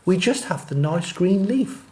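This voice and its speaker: A man's voice: high-pitched, sing-song, unhurried, dark tone, pitched above the performer's own voice. A man voicing small, meek storybook animals that plead timidly.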